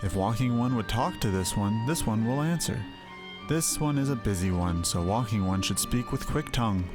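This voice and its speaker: A man's voice: lowish voice, expressive, moderate pace, natural voice. Guy doing a wary little sylph from a fantasy game, odd formal clipped talk, music playing behind. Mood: welcoming, grateful. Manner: stilted, clipped, formal phrasing. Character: wary little forest sylph, formal, third-person speech.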